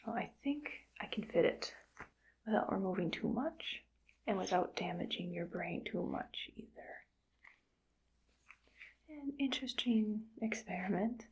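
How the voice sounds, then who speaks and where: A woman voicing a callous female mad scientist in an ASMR roleplay, whispering softly in a slow, coldly matter-of-fact way. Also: mid-range voice, expressive, husky, exaggerated voice. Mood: cold musing. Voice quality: soft whisper. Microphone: close sensitive mic.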